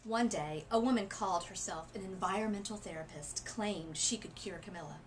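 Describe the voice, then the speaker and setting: A woman narrates a children's picture book in an expressive read-aloud style. Her phrasing is deliberate and dramatic, at a medium pitch, with noisy ambience in the recording. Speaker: literacy coach reading a picture book aloud.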